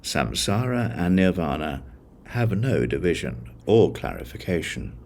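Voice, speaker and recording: A man reading a Buddhist scripture as an audiobook, in measured, deliberate phrases with pauses. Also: low-pitched, big pitch swings, creaky, a little mellow. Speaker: audiobook reader.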